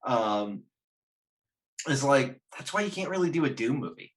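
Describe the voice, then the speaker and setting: A man in casual panel chat, jokey and halting, swearing casually as he thinks aloud. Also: medium pitch, expressive. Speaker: podcast panelist.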